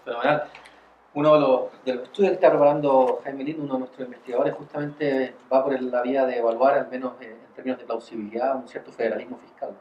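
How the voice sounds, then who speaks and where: Fast-talking male moderator, roomy sound. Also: medium pitch, fairly even intonation. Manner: halting, repeats words, scholarly. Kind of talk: academic discussion, long questions to a guest. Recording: roomy, dull sound with faint noise.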